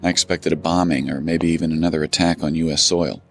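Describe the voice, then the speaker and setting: A man's voice: extremely low pitch, steady tone, quick pace. An actor playing a weary, blunt young army sergeant narrating his journal in an audio drama. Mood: grave. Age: 20s. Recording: music under the voice.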